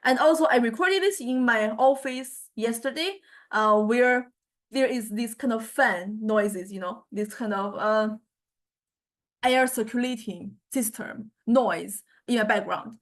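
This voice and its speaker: A woman with a Chinese accent giving an informal software tutorial as a lecturer, speaking haltingly with repeated words and frequent checking tags like okay and right. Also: fairly high pitch. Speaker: university lecturer in music technology. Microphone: small condenser microphone.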